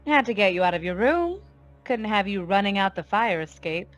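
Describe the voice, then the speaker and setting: A woman's voice: mid-range voice, animated, faint music behind, close to an everyday voice. An actress playing a cold, commanding female gangster boss in a noir radio play, taunting and full of threat. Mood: scornful taunting. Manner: taunting, deliberate, imperious. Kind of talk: 1940s radio noir acting.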